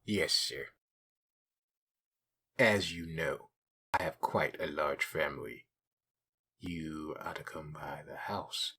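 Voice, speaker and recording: Man as a very deep, raspy, deadpan policeman. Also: exaggerated voice. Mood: formal. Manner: formal, measured, deadpan. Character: dry, polite, scheming male police sidekick. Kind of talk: comic dub acting.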